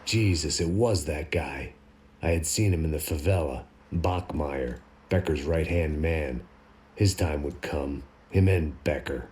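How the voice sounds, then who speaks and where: An actor playing a middle-aged, bitter American ex-cop who narrates in a brooding, deadpan noir style. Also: male voice, a bass voice, unhurried, slight background noise. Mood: grim, determined. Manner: world-weary, brooding, deadpan. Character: weary, bitter, self-loathing American ex-cop antihero. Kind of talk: scripted noir voice-over narration and game dialogue.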